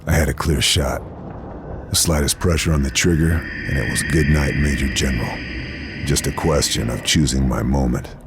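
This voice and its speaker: An actor playing a grim American wartime sniper, narrating his mission in terse, deadpan game voice-over. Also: very deep voice, moderate pace, over music. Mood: cold, terse. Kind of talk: scripted noir-style voice-over narration.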